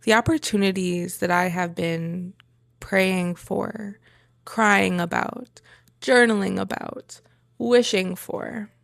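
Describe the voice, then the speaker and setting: A woman speaks in a personal, reflective monologue at a medium pitch, with plenty of vocal fry. She pauses between short phrases and repeats herself for emphasis. The sound is dry, with no room echo. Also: steady tone. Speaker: vlogger sharing a personal journey.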